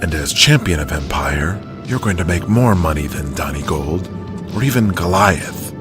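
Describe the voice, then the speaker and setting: A man playing a shrewd, commanding wrestling promoter who talks deliberately and persuasively in an audio drama. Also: deep voice, animated, over music. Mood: coaxing, manipulative.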